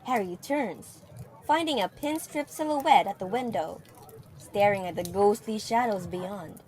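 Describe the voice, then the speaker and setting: A woman's voice: mid-range voice, unhurried, a little mellow, slight background noise. A woman reading scripted, dramatic third-person narration for an audio play.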